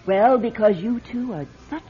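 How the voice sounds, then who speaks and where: An actress playing a weary, aging fortune-teller in a radio drama, theatrical and hesitant, with wheedling endearments. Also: female voice, on the high side, normal speed, slightly rough, faint music behind. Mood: coaxing. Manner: theatrical, hesitant, wheedling, calls people "my dear". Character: weary, shabby, aging female fortune-teller fond of her cat. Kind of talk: classic radio drama acting. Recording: muffled old radio drama recording.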